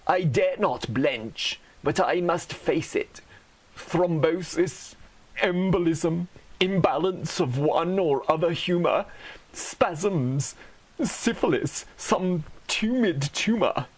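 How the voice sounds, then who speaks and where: Man, comic poetry reading, raised pitch, slow pace, theatrical, mock-grave. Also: breathy edge. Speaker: poet reading own poems.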